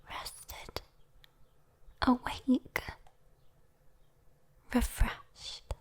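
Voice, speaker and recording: A British woman voicing a sweet, teasing, doting demon girl in a soothing ASMR bedtime roleplay, whispering gently with coy endearments. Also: a little high, breathy edge, heavily acted. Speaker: ASMR voice performer.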